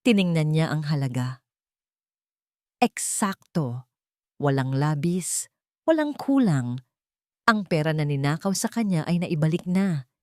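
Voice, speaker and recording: Low-voiced woman narrating a dramatic story. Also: lively intonation, unhurried, pauses often, warm tone, no echo, studio quality.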